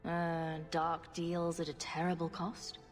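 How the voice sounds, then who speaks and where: A woman's voice: fairly low pitch, monotone, takes it slowly. A woman playing a sarcastic rogue adventurer in a video game, with a wry, flippant way of talking. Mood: wry, reassuring. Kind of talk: video game character acting. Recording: reverberant hall, music behind.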